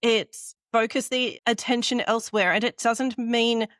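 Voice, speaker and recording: A woman's voice: on the high side, fairly even intonation, measured pace, fairly bright tone, dry recording, studio quality. A woman hosting a podcast interview who thinks aloud and corrects herself as she goes, her voice worn and going. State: losing her voice. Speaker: podcast host. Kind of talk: conversational interview.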